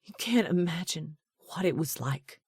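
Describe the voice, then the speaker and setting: A woman voicing a jealous, fiery young woman who hurls sharp, scornful accusations in a raised voice. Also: lively intonation, a little slow, slightly rough, no room sound, studio quality, exaggerated voice. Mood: tearful. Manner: sharp, accusing, high-pitched outbursts.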